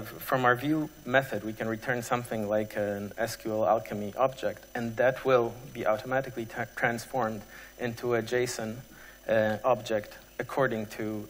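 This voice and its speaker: Male conference presenter, medium pitch, halting technical delivery with frequent pauses, long hall reverb. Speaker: conference speaker.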